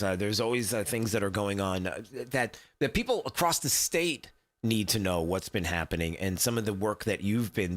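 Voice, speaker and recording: A man hosting a radio talk show, under the weather with a deeper voice than usual, chatting casually and rambling with lots of filler words. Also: fairly bright tone. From Southwest Florida.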